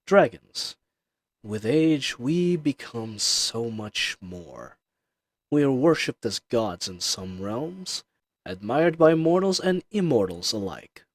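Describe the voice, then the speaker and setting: A man's voice: mid-range voice, no echo. A man voicing an ancient, powerful dragon in human form, speaking in a grandiose, condescending and seductive way in a roleplay audio drama. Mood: haughty, taunting. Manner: slow, grandiose, formal, condescending, with drawn-out pauses. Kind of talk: scripted roleplay audio drama addressed to the listener. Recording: slight fuzzy microphone hiss.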